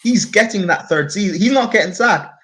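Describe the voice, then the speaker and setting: A man's voice: high voice, lively intonation, fairly quick, a bit bright. A man trades casual football banter. He is teasing and insistent, often repeating his phrases. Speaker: football fan panelist.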